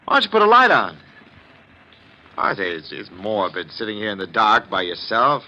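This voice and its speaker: An actor playing a skeptical, impatient husband in a 1940s radio mystery, brusque and reassuring, with a stammer when rattled. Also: male voice, lowish voice, sing-song, normal speed, bright, forceful tone, heavily acted. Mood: dismissive. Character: skeptical impatient husband dismissing talk of curses. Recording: muffled, noisy 1940s radio recording.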